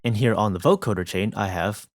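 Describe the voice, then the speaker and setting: A man's voice: lowish voice, brisk. A man presenting a music production tutorial in a casual, explanatory narration style.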